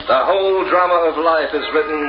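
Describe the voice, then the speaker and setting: A man's voice: on the high side, fairly quick, natural voice. A man announcing a radio drama series in a dramatic old-time style. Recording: muffled echoey old radio recording, music behind.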